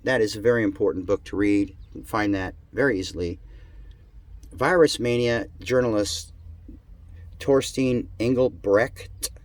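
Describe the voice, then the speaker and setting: A man reading an article aloud in a sarcastic, theatrical manner, with drawn-out emphasis and muttered asides. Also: lowish voice, no echo. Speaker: amateur reader of an article.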